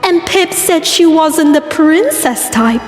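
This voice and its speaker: The royal mum popping into a cartoon palace room, played by a woman, high-voiced, breezily fussing, echo all around her. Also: lively intonation, takes it slowly. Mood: doting, breezy. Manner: affectionate, chatty, motherly fussing. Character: doting, brisk regal mother pony queen. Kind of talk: cartoon voice acting in a comic dub. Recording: very echoey, music underneath.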